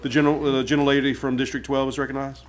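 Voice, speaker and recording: Man, meeting chair, rapid delivery, average pitch, formal parliamentary wording. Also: little pitch movement, background noise. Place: public meeting room.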